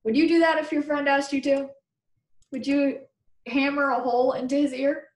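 An American woman in her thirties reading a children's book aloud as a teacher, pausing to explain and ask listeners questions in simple words. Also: high voice, little pitch movement. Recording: roomy, echoing webcam recording.

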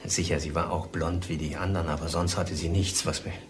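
An actor playing a middle-aged serial killer tormented by his mother, confessing haltingly in a crime radio drama. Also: deep voice, flat delivery, background noise, lightly acted. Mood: subdued, insistent. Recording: music underneath the voice.

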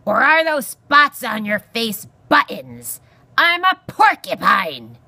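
A woman's voice: medium pitch, sing-song, unhurried, gravelly, pushed and bright, slightly rough, no echo, pitched above the performer's own voice, heavily acted. A woman voicing a grumpy old male porcupine in a storybook, barking scornful insults in a growling bluster.

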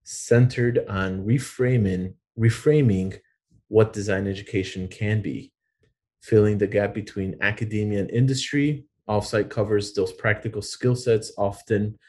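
A man's voice: on the low side, steady tone, unhurried, darkish tone. A man, a design educator, presenting his program formally in a webinar, with frequent filler words.

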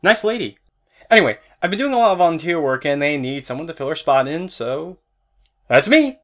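Cheeky young male clerk for an audio drama, animated intonation, breezy delivery, played by a man. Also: on the high side, a little fast. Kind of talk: fan audio drama acting.

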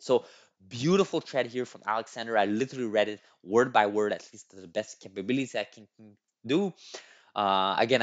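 A young man, not a native English speaker, reading and commenting on a thread as a channel host, restarting words and adding tag questions. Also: lowish voice. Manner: repeats and restarts words, many "right?" tags. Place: small room at a desk. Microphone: headset. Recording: dull lo-fi audio.

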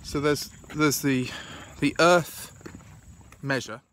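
A man's voice: on the high side, takes it slowly, a bit bright, a little hiss or hum. A British man in his forties with a cold, chatting casually to a phone camera outdoors, thinking aloud with lots of fillers. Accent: British English. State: croaky with a cold. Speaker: family vlogger. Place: outdoors in a large garden and meadow. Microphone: phone camera held at arm's length.

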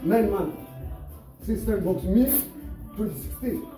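A man's voice: sits high, a little slow. Male boxing coach, upbeat and repetitive, heard from across a roomy gym with music playing. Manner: encouraging, repeats phrases, laughs often. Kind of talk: coaching during a gym session. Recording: echoey gym from far off with music and crowd noise. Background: music and people in the gym.

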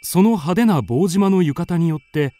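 An actor playing an earnest young amateur sleuth in a radio drama, narrating and reasoning in a deliberate, dramatic, polite way. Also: male voice, a little high, lively intonation, mellow, no echo, lightly acted. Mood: tense narration. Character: earnest young male amateur sleuth, confidently accusing his friend. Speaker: narrator and lead character.

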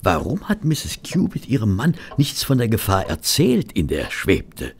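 Genial puzzled sidekick gentleman in a radio play, gravelly, swoops all over, teasing. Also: lowish voice, huge pitch swings, moderate pace, slight background noise, exaggerated voice. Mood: pensive. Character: genial, puzzled gentleman, detective's loyal companion. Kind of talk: German audio drama acting.

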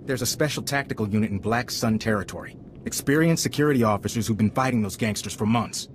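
An actor playing a grave government agent in a video game, speaking in a formal, official manner. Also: male voice, on the low side, fast, slight background noise, lightly acted. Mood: earnest. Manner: serious, official. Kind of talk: video game character acting.

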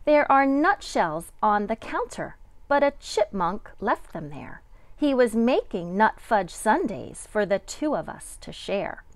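A middle-aged woman, a kindergarten teacher, reading a picture book aloud to young children with deliberate, sing-song dramatic emphasis. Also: medium pitch, sing-song, no room sound.

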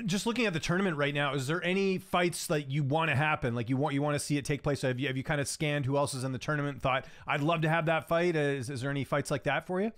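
A man in his thirties hosting an interview, asking questions in a brisk, friendly way. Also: on the high side, fairly bright tone. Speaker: interview host.

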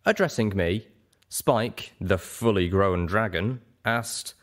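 A man reading scripted story narration in a theatrical, expressive way. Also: lowish voice, takes it slowly. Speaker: audiobook narrator. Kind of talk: scripted, dramatic fan fiction narration.

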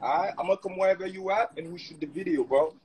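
A man's voice: sits high, fast, darkish tone, a little hiss or hum, rough recording. A man with a Haitian accent, a guest rapper on a video call, hyped up and mixing English with Creole and French. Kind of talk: casual livestream chat. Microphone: phone on a video call.

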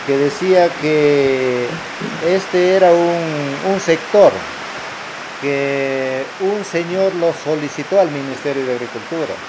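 An older man with a Peruvian accent, recalling local history in halting, unscripted storytelling. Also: on the high side. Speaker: villager giving testimony. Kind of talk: unscripted oral recollection. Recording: hissy field recording, rushing water noise.

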